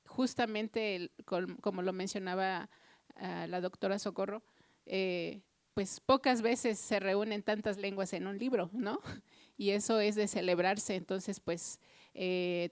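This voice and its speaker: A woman whose first language is Purépecha, speaking as a translator at a book presentation in earnest, reflective formal remarks, often pausing on "eh". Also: mid-range voice, smooth, no room sound. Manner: earnest, reflective, with frequent "eh" fillers. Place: official venue before an audience.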